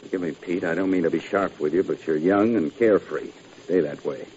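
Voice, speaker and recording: A man's voice: low voice, a little fast, darkish tone, natural voice. A man playing a wry middle-aged record producer in a radio drama, speaking in a dry, precise, literate manner. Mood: grave, weary. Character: wry, erudite older male record producer. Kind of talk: 1950s radio drama acting. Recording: muffled, hissy old radio recording.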